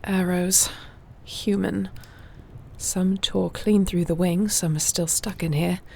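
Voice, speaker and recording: A woman playing a gentle, wry warrior shifter with healing magic in an intimate fantasy audio roleplay, speaking softly and soothingly without hurry. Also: on the low side, fairly even intonation, takes it slowly, vocal fry, a little hiss or hum. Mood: tender, concerned.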